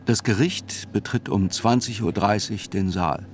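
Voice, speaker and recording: A man's voice: on the low side, measured pace, a little hiss or hum, natural voice. An actor playing a sober, reflective male reporter who narrates a radio drama in literary reportage style.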